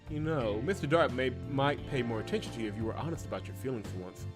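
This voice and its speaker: A chatty, friendly redheaded gang sister from a cyberpunk visual novel, voiced by a man. The delivery is teasing and cheerful, at a middling pitch. Music plays clearly behind it. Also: lively intonation, quick pace, close to an everyday voice. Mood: teasing, upbeat.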